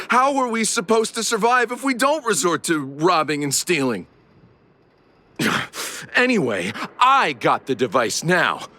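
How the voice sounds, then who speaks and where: Shifty game villain, aggrieved, defiant, blustering, sharp, very animated delivery. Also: male voice, sits high, exaggerated voice. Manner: whiny, blustering, stammering when cornered. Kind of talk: video game voice acting.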